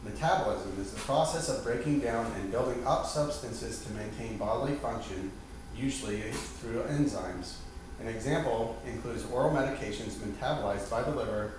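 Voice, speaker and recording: A man reading out a scripted educational presentation in a formal, instructional manner, as the one leading the lesson. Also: medium pitch. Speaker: lesson presenter quizzing a partner. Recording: very echoey, distant mic, noisy background.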